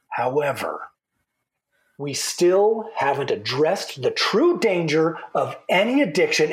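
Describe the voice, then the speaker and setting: A man's voice: high-pitched, poor audio. A man acting an earnest, combative debater in a fiction podcast, arguing emphatically. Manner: emphatic, argumentative. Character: earnest, combative male debater on a press tour.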